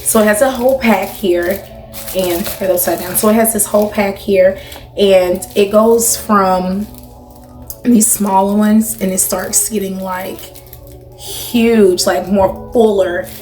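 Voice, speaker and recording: A woman in her thirties chats like a beauty vlogger in an echoey room, with music in the mix. Her pitch sits in the middle for a woman. She talks casually and familiarly to her audience, tossing in emphatic check-ins. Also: steady tone, takes it slowly, darkish tone. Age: 30s. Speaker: beauty vlogger and channel host. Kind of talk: casual, chatty vlog talk to camera.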